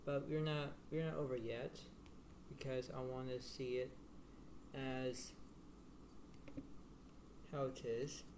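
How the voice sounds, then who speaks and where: A man giving a casual tarot reading to the viewer in a halting, repetitive, rambling way. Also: flat delivery. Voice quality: low-volume voice. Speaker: tarot card reader. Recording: noisy homemade recording with room ambience.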